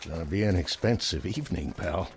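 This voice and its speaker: An actor playing a wisecracking, slangy city bartender who placates nervously in a television drama. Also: male voice, sits low, expressive, a little fast, gravelly, soft background music. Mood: nervous, placating.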